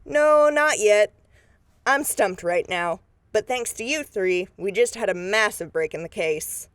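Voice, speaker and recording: A woman's voice: mid-range voice, expressive, measured pace, no echo, clean studio sound, natural voice. A woman playing a businesslike, take-charge deputy investigator in a radio drama, plain-spoken and matter-of-fact. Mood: focused, deducing. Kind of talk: scripted radio drama acting.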